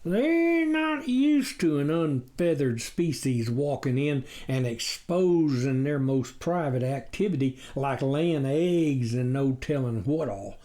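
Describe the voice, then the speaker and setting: A man's voice: mid-range voice, expressive, slow pace, few pauses, warm tone. A man voicing a gullible, fretful country farmer in a storybook, with rustic, rambling talk. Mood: wary. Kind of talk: storybook character reading.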